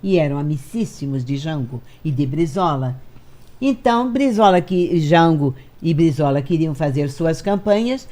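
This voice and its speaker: A woman's voice: low-pitched, lively intonation, normal speed, warm tone. An older Brazilian woman, a veteran radio actress, recollecting casually in an interview and repeating key phrases for emphasis.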